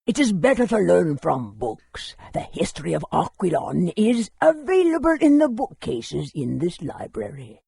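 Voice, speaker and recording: A bookish fantasy-game historian with a very husky, creaky voice set fairly high. He is courteous and scholarly, choosing his words with measured care while his melody moves up and down. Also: sing-song, dark tone, no echo, heavily acted. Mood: helpful, cautionary.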